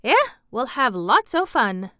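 A woman's voice: on the high side, lively intonation, moderate pace, fairly bright tone, smooth, dry recording, clean studio sound. A woman voicing a cheerful, hardy twelve-year-old country boy who loves nature, speaking hearty, earnest Yorkshire dialect in a storybook reading. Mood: eager, hearty.

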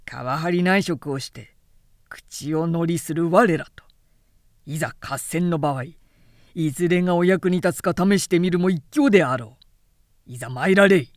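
A man's voice: fairly high pitch, normal speed, no room sound, studio quality, voice pushed down, close to an everyday voice. A woman voicing a proud, angry male foot-soldier spearman who speaks bold, formal samurai Japanese in a period story. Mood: indignant challenge. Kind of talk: literary period-story reading.

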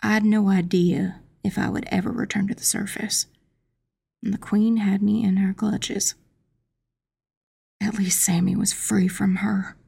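A woman playing a determined witch who narrates a dark fantasy audiobook in an intense, dramatic, breathy voice with heavy creak. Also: mid-range voice, level tone, unhurried, long pauses, heavily acted. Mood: tense, weary. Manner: intense, hushed, dramatic first-person narration. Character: determined young witch telling her own battle.

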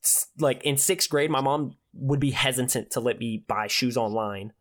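A man's voice: mid-range voice, moderate pace, fairly bright tone. A twenty-year-old man chatting casually in a slangy, earnest way. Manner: slangy, earnest, with frequent "like" and "bro". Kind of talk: casual chat.

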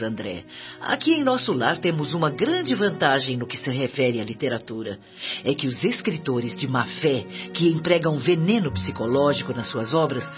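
An actress playing a talkative, gently chiding Brazilian mother and hostess in a radio drama. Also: low-pitched. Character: warm, talkative mother and hostess in spirit colony. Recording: muffled radio drama with music.

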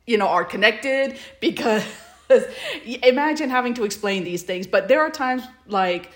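A woman's voice: a little high, expressive, a little slow. A woman co-hosting a podcast in casual chat, with a hesitant, meandering way of talking. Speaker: podcast co-host.

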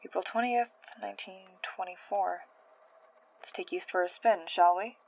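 Casting: woman playing puzzled, suspicious female archivist, audio drama. Average pitch, brisk, somewhat lo-fi sound. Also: fairly even intonation, gravelly, a little hiss or hum, lightly acted. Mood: quiet, intent. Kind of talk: audio horror drama acting.

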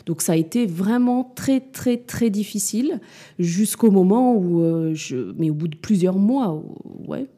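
A woman around forty talking about motherhood and work in an interview, reflective and hesitant, often pausing and restarting. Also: mid-range voice, lively intonation, dark tone, husky. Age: 40s. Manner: hesitant, many fillers and restarts. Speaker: interview guest, mother and business owner.

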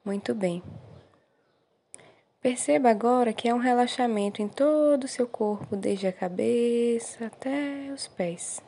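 Slow, soothing Brazilian woman guiding meditation. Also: a little high, creaky. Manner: soothing, gentle, unhurried with short encouraging phrases. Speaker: meditation guide. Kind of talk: guided meditation.

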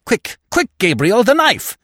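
A man's voice: mid-range voice, very animated, normal speed, no echo, clean studio sound. A man voicing a furious, vengeful widow in a storybook, melodramatic and taunting, with a cruel and fearful edge. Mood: gloating, frantic.

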